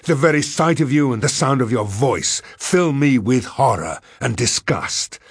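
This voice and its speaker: A man's voice: fairly low pitch, moderate pace, breathy edge, no room sound, studio quality. A man playing a bitter, aggressive male academic in an audiobook, speaking with emphatic, dramatic scorn. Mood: loathing, defiant. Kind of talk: audiobook character voice.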